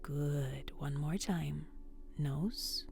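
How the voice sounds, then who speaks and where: A woman hosting a bedtime story, guiding relaxation in a soft, soothing, often whispered voice. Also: deep voice, drawn-out words, gravelly, music in the background. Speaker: storyteller and host.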